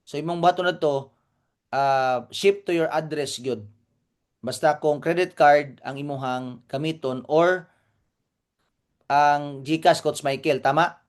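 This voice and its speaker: A man's voice: a little high, steady tone, slow, clear, resonant tone. A man with a Cebuano accent co-hosting a live training meeting, explaining informally, repeating points and checking that listeners follow.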